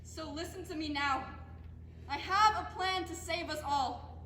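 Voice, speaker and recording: A young woman on stage playing a forceful, scheming woman of ancient myth in a theatrical style. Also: extremely high pitch, measured pace. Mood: urgent, scheming. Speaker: student stage actor. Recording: roomy, noisy stage recording.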